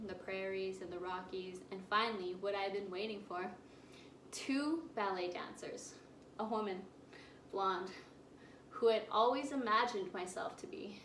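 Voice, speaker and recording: A woman's voice: medium pitch, a bit bright, slight background noise, natural voice. Woman doing a male drag queen, defiant, impassioned, theatrical, echoey room, distant mic. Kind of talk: theatrical monologue.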